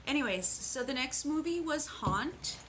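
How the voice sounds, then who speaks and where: A woman's voice: high-pitched, animated, a little slow, fairly bright tone, slight background noise. A woman co-hosting a casual movie review podcast, chatting in a hesitant way with frequent restarts. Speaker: podcast co-host.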